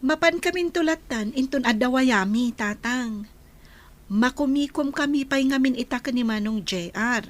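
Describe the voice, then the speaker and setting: Girl playing a solicitous grown daughter in an ilocano radio play, really high voice, dutiful. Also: moderate pace, lightly acted. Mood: firm. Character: caring, dutiful grown daughter of village father. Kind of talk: Ilocano radio drama acting.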